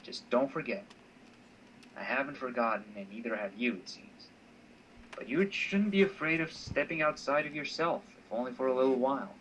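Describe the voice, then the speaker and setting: A man playing a teasing, self-assured mentor in a visual novel, speaking in a measured, insistent, wryly patronising way. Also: mid-range voice, a bit bright, close to an everyday voice. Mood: calm, persuasive. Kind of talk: amateur voice acting of a visual novel. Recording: distant mic with faint noise.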